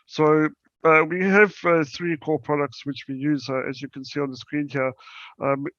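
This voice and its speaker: A young man with a South African accent giving a conference presentation, halting and full of fillers and restarts. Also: on the high side, flat delivery, fairly bright tone, no room sound, dull audio. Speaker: startup presenter.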